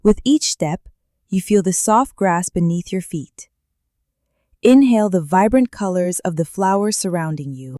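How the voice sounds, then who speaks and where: Calm lady doing guided meditation, lowish mellow voice, gentle, lots of space between phrases. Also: dry recording, clean studio sound. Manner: calm, gentle, unhurried phrasing with long pauses. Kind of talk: guided meditation, slow soothing instruction.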